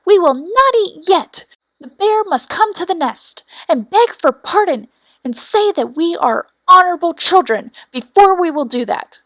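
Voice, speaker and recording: A woman's voice: extremely high pitch, animated, a little slow, fairly bright tone, no echo, voice pushed up, heavily acted. A woman voicing indignant young wren chicks in a storybook, stubborn and screaming their demands. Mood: demanding. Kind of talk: storybook character voice.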